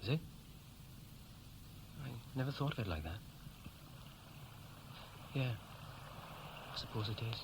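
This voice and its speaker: A man's voice: medium pitch, lively intonation, slow pace, long pauses. An actor playing a restless, evasive young Welshman in a 1960s radio play, speaking in a hesitant, colloquial way. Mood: doubtful, frank. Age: young adult. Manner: hesitant, colloquial, self-correcting. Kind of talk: 1960s radio play acting, naturalistic dialogue. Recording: noisy vintage 1960s radio recording.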